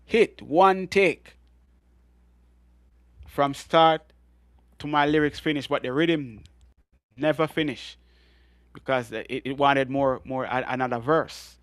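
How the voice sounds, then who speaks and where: A man from Jamaica with a Jamaican accent and a deep voice, telling stories as the guest of an interview. He tells them with lively energy and laughs often. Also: slow, long pauses, no echo, studio quality. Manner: animated storyteller, laughs often. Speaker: reggae artist guest. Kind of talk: storytelling in an interview.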